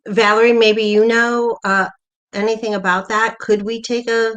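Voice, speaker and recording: A woman's voice: a little high, little pitch movement, normal speed, fairly bright tone, lo-fi sound. A woman talking casually as a panelist in an online theater discussion, putting her points and questions conversationally. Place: online video call.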